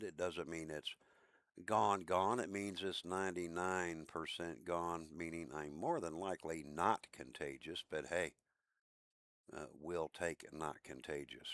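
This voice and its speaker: A man with a low voice chatting casually with viewers while streaming a game, in a laid-back, talkative way. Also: fairly bright tone, no echo. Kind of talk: casual live-stream chat.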